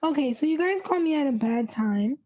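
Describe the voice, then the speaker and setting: A woman's voice: high voice, animated, fairly quick, mellow, poor audio. A woman in her late twenties with a youthful-sounding voice, chatting casually and dryly as a podcast co-host. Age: late 20s.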